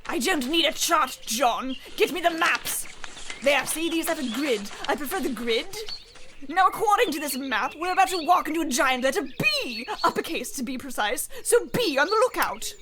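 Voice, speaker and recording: A woman's voice: high voice, lively intonation, normal speed, crowd noise. A woman playing a bossy big-game huntress. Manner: imperious, impatient, barking orders. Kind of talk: comedic radio play acting.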